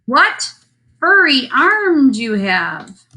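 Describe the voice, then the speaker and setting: A woman voicing an innocent little girl in a fairy tale, with a deliberate, wondering delivery. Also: high voice, big pitch swings, bright, forceful tone, pitched above the performer's own voice, exaggerated voice.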